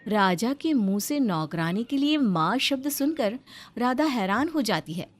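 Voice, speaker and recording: A woman's voice: on the high side, expressive, a little slow. A woman reading scripted narration for a story as its narrator.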